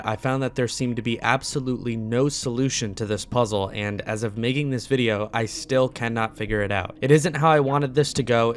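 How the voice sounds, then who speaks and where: Male video essayist, mid-pitched, deliberate and earnest, scripted analysis read with emphatic phrasing. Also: slight background noise.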